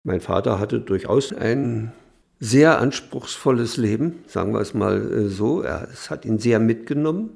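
Older male interviewee, reflective and halting, extremely gravelly voice at medium pitch. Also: expressive, a little mellow. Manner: halting, searching for words. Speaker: interviewee, a writer's son. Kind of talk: reflective spoken recollection.

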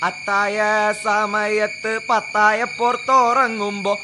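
An obstinate householder answering in sing-song verse. The voice is high and unhurried, with clear background noise in the radio drama. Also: male voice, level tone. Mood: grumpy. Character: stubborn sleepy householder refusing to open door. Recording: noisy radio drama ambience.

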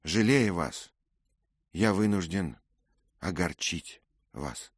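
A man's voice: low voice, expressive, takes it slowly, dry recording, voice pushed up, exaggerated voice. A man reading a remorseful young squire. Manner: earnest, pleading. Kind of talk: audiobook character reading.

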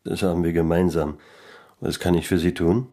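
Low-voiced, world-weary private eye. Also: male voice, little pitch movement, normal speed, mellow, no room sound. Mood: dry, guarded. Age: middle-aged. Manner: laconic, dry, sardonic. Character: weary, disillusioned middle-aged male private detective, wryly narrating. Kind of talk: noir radio play narration and dialogue.

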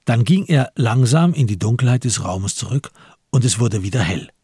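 A man narrating a literary crime story for a radio play in a husky voice, measured and grave. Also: mid-range voice, a little mellow, no echo, studio quality. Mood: sober, hushed. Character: sober male storyteller of a crime tale.

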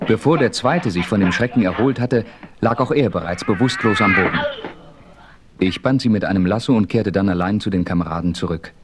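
A man playing a calm, self-assured western hero who narrates his own adventure in a radio play. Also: lowish voice, a little fast, background noise, natural voice. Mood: suspenseful.

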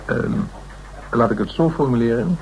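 A man's voice: mid-range voice, moderate pace, darkish tone, close to an everyday voice, rough recording. A man playing a flustered, easily offended legal adviser in a radio play, hesitant and stammering. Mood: hesitant, confiding. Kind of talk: naturalistic Dutch radio play acting. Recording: radio play recording with faint noise.

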